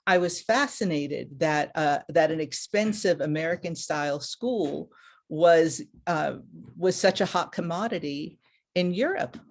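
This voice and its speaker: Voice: medium pitch, unhurried. A middle-aged speaker giving a candid, unscripted webinar talk as a panelist who evaluates international course credit, with frequent restarts and fillers, over a video call.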